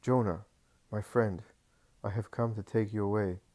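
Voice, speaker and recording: A man's voice: fairly low pitch, fairly even intonation, dark tone, close to an everyday voice. A man voicing a stern, grave teacher. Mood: tender. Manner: severe, measured.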